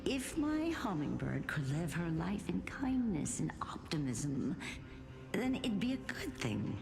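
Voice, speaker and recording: An aged grandmother spirit from a fantasy game, tender and weary. Her voice sits fairly low and swings widely between hushed and rising tones. She speaks slowly and solemnly, with music playing underneath. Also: sing-song, heavily acted. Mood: tender, wistful. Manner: slow, solemn, breathy pauses and sighs. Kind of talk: dramatic video game voice acting.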